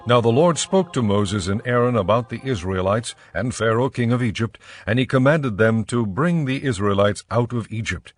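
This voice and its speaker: A man narrating scripture from a script in a measured, formal storytelling manner. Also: mid-range voice, expressive, no echo.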